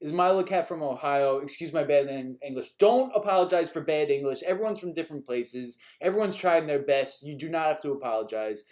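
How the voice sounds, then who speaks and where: Man from New York, slightly high voice. Loose, chuckling live-stream host, mildly exasperated with repeat questions. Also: animated, fairly quick, hardly any pauses, a little mellow. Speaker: animation creator answering viewer questions.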